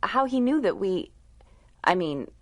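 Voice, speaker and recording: Sweet, lilting 1930s sweetheart. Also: female voice, mid-range voice, very animated, measured pace, no echo. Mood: teasing, chatty. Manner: gently teasing, folksy. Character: sweet, chatty, caring young 1930s girlfriend. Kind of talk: 1930s radio drama acting.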